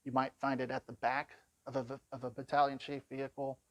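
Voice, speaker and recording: A man's voice: mid-range voice, little pitch movement, brisk, dry recording, lo-fi sound. A man giving an informal product walkthrough in a plain-spoken, conversational way, explaining things as a demonstrator.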